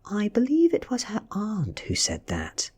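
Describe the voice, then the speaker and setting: Man reading as poised ancient vampire woman in a storybook: British, husky, soft, with swooping intonation. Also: high voice, wildly swooping pitch, measured pace, pitched above the performer's own voice, exaggerated voice. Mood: coolly confident. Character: ancient female vampire, coolly confident, posing as student. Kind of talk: soft-spoken audiobook character reading.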